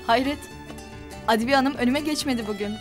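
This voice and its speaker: A young actress as a proud, guarded half-sister. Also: female voice, sits high, brisk, over music, lightly acted. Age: young adult. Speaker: newly recognized daughter of a rich family. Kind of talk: television drama acting.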